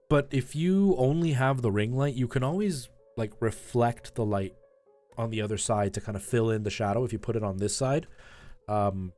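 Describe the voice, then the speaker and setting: A man's voice: medium pitch, dark tone. A man chatting casually and informally on a live game stream, rambling in asides to his viewers and giving tips.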